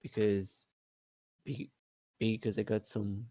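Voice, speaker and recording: A man who is not a native English speaker, chatting casually in a halting way with repeated words and many fillers. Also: lowish voice, flat delivery, warm tone, no room sound. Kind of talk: casual hesitant chat.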